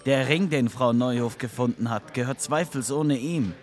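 A man's voice: medium pitch, moderate pace, close to an everyday voice. A man playing an earnest, pedantic police commissioner who questions and corrects, in a comic audio drama. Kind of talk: comedic audio drama acting.

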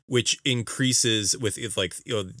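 A man co-hosting a casual video game podcast, talking emphatically with halting explanations and exasperated teasing. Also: fairly low pitch, pushed and bright, dry recording, clean studio sound. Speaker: podcast co-host.

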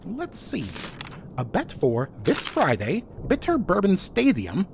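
An actress playing an eager, naive young detective's assistant in a comic noir audio drama, earnest and wide-eyed. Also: female voice, fairly low pitch, wildly swooping pitch, slow, creaky, noisy background, full character voice.

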